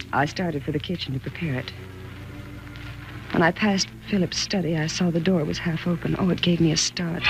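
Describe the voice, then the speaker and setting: An actress in a 1940s radio thriller playing a frightened, anxious wife, with a dramatic, halting delivery. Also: female voice, fairly low pitch, highs cut off, lightly acted. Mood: uneasy. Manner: dramatic, halting and stammering when afraid. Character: frightened, anxious wife narrating her growing dread. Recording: noisy old 1940s radio recording.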